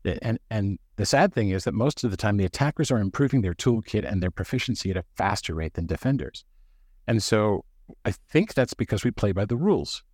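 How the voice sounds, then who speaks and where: A man's voice: fairly low pitch, animated, a little fast, darkish tone, no echo, clean studio sound. A man speaking as a cybersecurity executive on a podcast, telling stories and explaining ideas earnestly. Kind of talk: podcast conversation.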